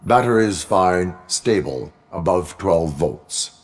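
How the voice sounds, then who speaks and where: A man playing a stoic cyborg police officer in a video game, formal, clipped and deadpan. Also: low voice, slow. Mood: flat, businesslike.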